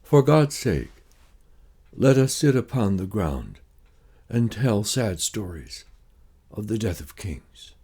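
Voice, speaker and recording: A man reciting Shakespeare monologues in a slow, theatrical and deliberate way, with weighty pauses. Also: fairly low pitch, sing-song, dark tone, slightly rough.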